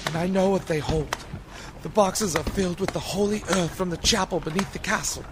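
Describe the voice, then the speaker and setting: A man's voice: on the high side, very noisy. An actor playing a terrified young Englishman, a captive close to madness, giving fraught dramatic speech in a radio horror play. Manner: fraught, dramatic diary narration.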